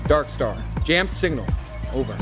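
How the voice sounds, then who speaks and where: A man playing a dutiful military radar officer in an audio drama, speaking in crisp radio procedure. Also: mid-range voice, lively intonation, a little slow, lightly acted. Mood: urgent, businesslike. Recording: loud music over the voice.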